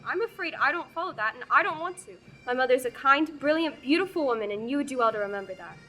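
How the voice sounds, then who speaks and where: A woman's voice: high voice, lively intonation, fast, sharp tone. A teenage actress playing a blunt, sarcastic young farm girl in a school radio play. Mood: brisk. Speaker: student actor. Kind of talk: amateur radio play acting.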